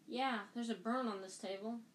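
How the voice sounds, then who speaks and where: A woman's voice: on the high side, moderate pace, a little hiss or hum, natural voice, low-quality recording. A woman voicing a mischievous teenage schoolboy with a wry, joking mutter.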